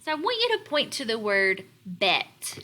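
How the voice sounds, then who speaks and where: A woman teacher leading a read-aloud lesson for young children, explaining words in a deliberate, instructional way. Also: a little high, huge pitch swings, sharp tone. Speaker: elementary teacher.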